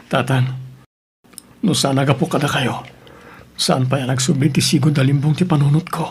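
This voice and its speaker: A man plays a troubled husband in an audio drama. His medium-pitched voice is humble and apologetic. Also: steady tone, moderate pace, a little hiss or hum, natural voice. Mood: weary, grateful. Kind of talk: Ilocano audio drama acting.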